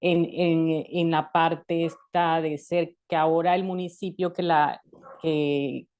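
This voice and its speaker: A woman in her thirties from Colombia, a guest in an interview, talking in a reflective way with restarts and soft laughs. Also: sits low, flat delivery, takes it slowly, highs cut off. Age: 30s. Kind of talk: conversational interview.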